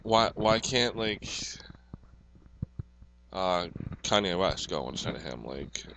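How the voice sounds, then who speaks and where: Deep voiced dude on a podcast, punchy edge, just casual halting chat. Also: male voice, lively intonation, fairly bright tone, slight background noise. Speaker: podcast co-host. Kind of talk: casual banter.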